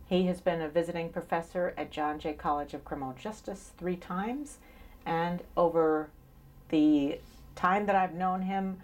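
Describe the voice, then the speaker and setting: A woman's voice: on the low side, fairly even intonation, unhurried, darkish tone. A middle-aged woman introducing an interview in a formal manner, reading from notes. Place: small indoor interview set.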